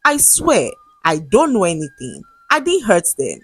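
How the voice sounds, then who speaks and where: A distraught young woman, played by a female storyteller, pleads urgently and emotionally in a folktale. Her husky voice jumps around in pitch. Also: wildly swooping pitch, measured pace, dry recording, soft background music, full character voice. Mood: frightened pleading. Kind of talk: dramatic storytelling dialogue.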